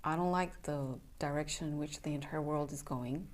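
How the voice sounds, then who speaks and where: A woman's voice: low voice, moderate pace. A young adult woman from Romania, a native Romanian speaker, talking as a somatic coach in an earnest, plainspoken casual announcement. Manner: earnest, plainspoken, checks in with "okay?".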